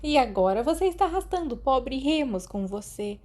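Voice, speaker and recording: Woman as a playful teenage girl, raised pitch. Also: big pitch swings, normal speed, mellow. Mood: teasing. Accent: Brazilian.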